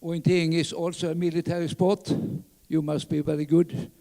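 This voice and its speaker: An older man from southern Sweden with a Swedish accent, speaking English as a fourth language, giving a halting talk at a lectern full of fillers and restarts. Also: a little high, flat delivery, gravelly, mellow. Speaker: conference speaker. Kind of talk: reminiscing conference talk. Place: large conference hall. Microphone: lectern mic. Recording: reverberant hall.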